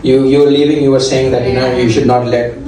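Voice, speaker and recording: A man with an Indian accent doing a stand-up comedy set, talking haltingly with lots of filler sounds and restarts. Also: mid-range voice, flat delivery, a crowd in the background. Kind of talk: stand-up set at an open mic. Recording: echoey room, mic heard from a distance.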